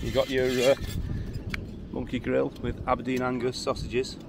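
A man's voice: medium pitch, flat delivery, normal speed, gravelly. A man, chatting cheerfully as he films a motorbike meet-up and cook-out outdoors. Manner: chatty and cheerful, laughs often. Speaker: vlogger on a motorbike trip. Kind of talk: casual outdoor vlog narration. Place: outdoors at a lodge among parked motorbikes. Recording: noisy outdoor camera audio. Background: outdoor ambience with vehicles.

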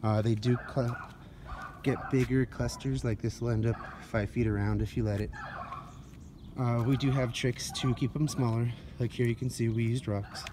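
A man's voice: mid-range voice, steady tone, moderate pace. Man giving a casual tour, noisy outdoor recording. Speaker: gardener giving a yard tour. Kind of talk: casual unscripted walk-through narration. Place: outdoors in a residential front yard, walking. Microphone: handheld phone held by the speaker. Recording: noisy outdoor phone recording, dogs around.

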